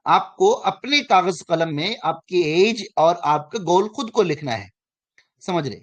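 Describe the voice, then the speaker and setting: A man's voice: sits high, expressive, measured pace, dull audio. A man in his thirties teaches a finance class to students online. He talks to them directly, checks again and again that they follow, and fixes his own slips as he goes. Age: 30s. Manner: repeats and checks in with students, self-correcting. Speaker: finance course instructor.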